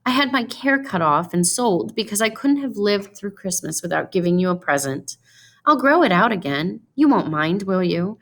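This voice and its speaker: A woman acts out a young wife from a storybook, girlish and affectionate. Her pitch lifts well up as she pleads and exclaims eagerly, with some creak in the voice. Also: very animated, moderate pace, a bit bright. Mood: pleading. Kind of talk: storybook read-aloud character voice.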